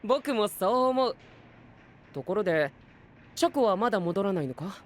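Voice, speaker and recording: A man playing a calm, supportive young gentleman in an anime voice drama, composed and gentle, dropping in English phrases. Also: sits high, normal speed. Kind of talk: Japanese anime voice drama acting. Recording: music behind the voice.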